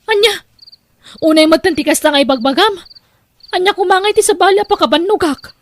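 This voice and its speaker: A woman's voice: extremely high pitch, fairly quick. A woman playing a long-suffering young wife, affectionate but protesting, in an Ilocano audio drama with a Filipino Ilocano accent. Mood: hurt, defensive.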